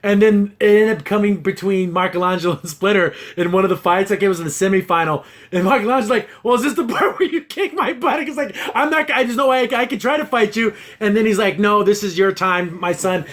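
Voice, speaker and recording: High-pitched man in casual podcast banter. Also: quick pace, few pauses, fairly bright tone. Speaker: podcast host. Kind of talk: casual review chat about cartoons.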